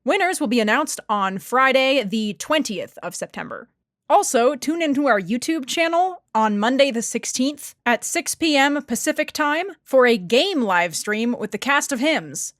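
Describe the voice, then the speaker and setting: A woman's voice: high voice, lively intonation, moderate pace, a bit bright, no room sound, clean studio sound. A woman reading scripted podcast announcements in a friendly way.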